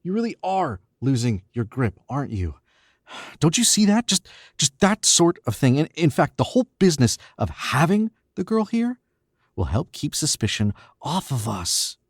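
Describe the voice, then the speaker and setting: A man's voice: fairly high pitch, big pitch swings, moderate pace, slightly rough, no room sound, clean studio sound, full character voice. Man playing a smug, domineering radio-drama murderer. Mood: impatient, condescending. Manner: condescending, needling, self-assured. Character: cool, domineering young murderer, smug and suave. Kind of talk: old-time radio drama acting.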